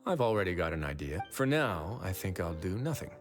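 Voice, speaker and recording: Composed game warden, very low voice, measured, dry wit, quiet authority, faint music. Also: male voice, big pitch swings. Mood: calm, reflective. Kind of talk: video game voice acting.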